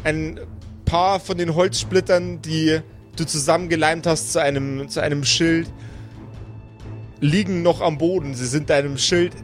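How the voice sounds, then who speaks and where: A man working as game master, narrating an improvised tabletop adventure in deliberate, descriptive phrases. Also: a little high, steady tone. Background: music under the voice.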